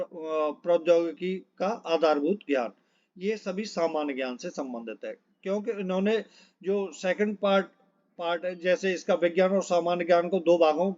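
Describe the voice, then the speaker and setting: High-pitched man teaching, dull recording. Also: darkish tone. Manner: instructional, repeats points and checks in often. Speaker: exam coaching teacher. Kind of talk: informal syllabus walkthrough, reading out topic lists.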